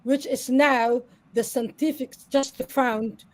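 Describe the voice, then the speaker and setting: High-voiced woman on a video call. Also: fairly even intonation, takes it slowly, poor audio. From Somalia. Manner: earnest, explains patiently with many "you know" fillers. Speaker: interview guest. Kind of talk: live online interview.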